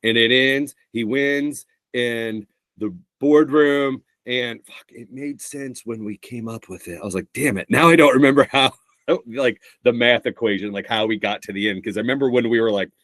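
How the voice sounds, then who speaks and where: A middle-aged man co-hosting a podcast, talking in an animated, sarcastic way and swearing freely. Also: mid-range voice, moderate pace, no room sound. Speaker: podcast co-host, comedian. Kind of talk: casual chat.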